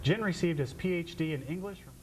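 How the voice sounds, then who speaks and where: Formal male TV host, higher-pitched. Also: slight background noise. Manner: measured, formal announcer delivery. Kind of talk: scripted introduction and interviewing.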